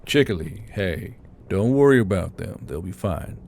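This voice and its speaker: A man voicing a gruff, weary but caring military commander with terse, clipped delivery in an audiobook. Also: sits low, lively intonation, normal speed, darkish tone, a little hiss or hum, pitched below the performer's own voice. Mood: reassuring. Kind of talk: audiobook character acting.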